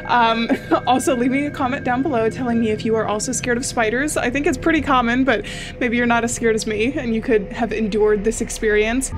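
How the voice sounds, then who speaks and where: A woman's voice: on the high side, fairly even intonation, fairly quick, over music. A young woman playing a horror game, chatty and jittery, joking at her own fear, on a studio mic in a small room at home. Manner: jittery, chatty, self-mocking. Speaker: gaming YouTuber. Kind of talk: horror game let's play commentary. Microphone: studio mic with pop filter, headphones.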